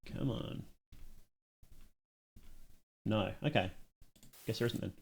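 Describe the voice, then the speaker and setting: A man's voice: on the low side, slow pace, vocal fry, husky. A man hosting a reaction livestream, talking informally to his chat as he thinks aloud and judges, with some mild swearing. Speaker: livestream host reacting to and judging short films. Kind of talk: casual livestream commentary to chat.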